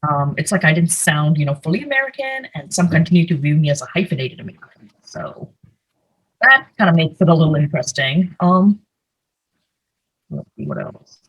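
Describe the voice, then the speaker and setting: A woman's voice: low-pitched, very animated, normal speed, husky, low-quality recording. A young woman from India giving a conversational slide presentation over a video call, with plenty of fillers. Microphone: computer mic, video call.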